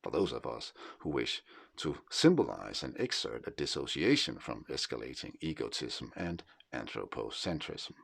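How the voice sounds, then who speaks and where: A man with a Danish accent, Danish being his first language, narrates in a measured, contemplative way. His voice sits extremely low and gravelly. His intonation rises and falls expressively. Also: sing-song. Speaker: essayist narrator. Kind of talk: reflective scripted narration.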